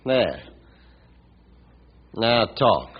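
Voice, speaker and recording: An actor playing a wary, stubborn young rancher in an old-time radio western, terse and suspicious with a drawl. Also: male voice, on the low side, very animated, drawn-out words, slightly rough, full character voice. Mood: suspicious, curt. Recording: dull, lo-fi old radio recording.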